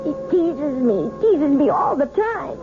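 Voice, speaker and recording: A woman's voice: high-pitched, big pitch swings, takes it slowly, over music, full character voice. An actress playing a woman regressed under hypnosis to a frightened little girl, childlike and tearful, in a 1970s radio drama. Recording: muffled old radio broadcast.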